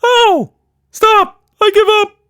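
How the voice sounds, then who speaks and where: A man's voice: very high voice, fairly even intonation, slow pace, a bit bright, no echo, clean studio sound, voice pushed up, exaggerated voice. A man voicing a mischievous, overconfident magical furry monster in a children's story, exclaiming shrilly. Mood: shrieking, pained.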